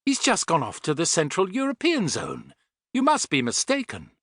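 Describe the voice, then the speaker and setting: Man voicing a cold commanding young woman, raised pitch. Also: wildly swooping pitch, moderate pace, fairly bright tone, no echo, clean studio sound, heavily acted. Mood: cold, disbelieving. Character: cold, authoritative woman of thirty, impatient radio controller. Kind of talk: audiobook character voice.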